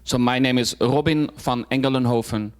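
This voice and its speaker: A man's voice: mid-range voice, fairly even intonation, moderate pace, clear, resonant tone. Man giving a casual club talk. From Netherlands. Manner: informal, frequent fillers and restarts. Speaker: guest speaker. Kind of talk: personal talk to a club audience.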